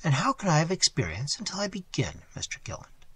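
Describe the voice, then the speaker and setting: Plucky young stenographer, soft and low; a man reads her. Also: huge pitch swings, a little fast, husky, full character voice. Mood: pleading.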